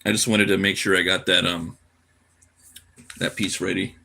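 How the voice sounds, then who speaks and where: A man's voice: low voice, flat delivery, moderate pace, vocal fry, sharp tone, breathy edge. A tired man chatting casually on a livestream while he draws, laid-back and slangy, half muttering to himself. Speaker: cartoonist live streamer.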